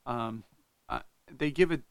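A man hosting a podcast interview, asking halting questions with short backchannels. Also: mid-range voice, gravelly, clean tone, no echo. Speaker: podcast host.

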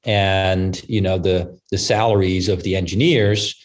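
A man speaking as an interview guest on a business podcast, thinking aloud in a halting, discursive way. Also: low-pitched, steady tone. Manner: halting, discursive, frequent "you know" tags. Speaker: investment firm partner, panel guest.

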